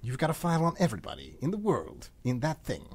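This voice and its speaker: A man plays the Devil as a sardonic businessman in a comic radio play. The voice is husky and mid-pitched, swinging widely up and down as he grumbles, wheedles and makes sarcastic digs. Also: huge pitch swings, fast talker. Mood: aggrieved. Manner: sarcastic, exclamatory. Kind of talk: comedic radio play acting.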